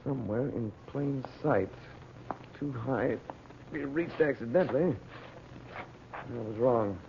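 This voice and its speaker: Confident radio-serial adventurer, tinny recording. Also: male voice, mid-range voice, slow pace, noisy background. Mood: excited deduction. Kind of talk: old-time radio serial acting.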